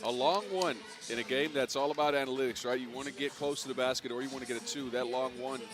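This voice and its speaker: A man giving color commentary on a basketball game, relaxed and analytical with some dry humor. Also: mid-range voice, fast. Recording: music playing behind the commentary.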